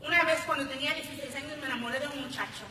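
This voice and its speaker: High, piercingly bright American woman poet, echoing hall. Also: steady tone, rapid-fire. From Los Angeles, United States. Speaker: spoken word poet. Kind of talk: live spoken word poetry performance. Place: large hall at a conference ceremony. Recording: echoey hall, distant, faint noise.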